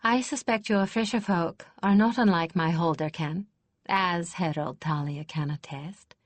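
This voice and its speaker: A woman voicing a composed noblewoman in an audiobook, measured and formal. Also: lowish voice, dry recording.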